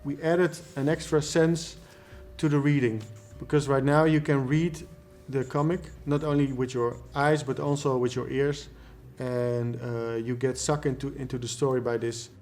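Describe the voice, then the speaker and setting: A man in an interview explaining his craft as a composer, in halting short phrases. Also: medium pitch, steady tone, a little mellow. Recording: music behind the voice.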